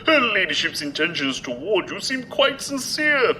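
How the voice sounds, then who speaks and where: Polite butler type man, proper n deferential, really crisp bright tone, very lively intonation, radio play. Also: high-pitched, moderate pace, a little hiss or hum, exaggerated voice. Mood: polite, dry. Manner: formal, deferential, precise. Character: courteous, formal, loyal male aide to noblewoman. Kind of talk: audio drama acting.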